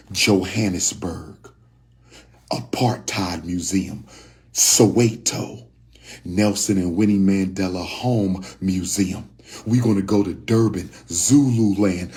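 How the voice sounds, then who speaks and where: A man's voice: low voice, darkish tone. A man in his own voice delivering a fiery motivational talk, repeating phrases over and over for emphasis. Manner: repetitive, rousing, hammering phrases for emphasis. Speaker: speaker promoting group tours.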